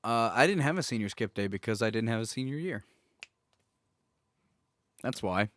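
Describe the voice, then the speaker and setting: An animated man chats casually as a podcast co-host, his pitch swinging widely as he tells stories. He acts out the people he quotes, and the sound is close and dry. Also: normal speed, no room sound. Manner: animated storytelling, acting out quoted dialogue. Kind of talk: casual chat, telling personal anecdotes.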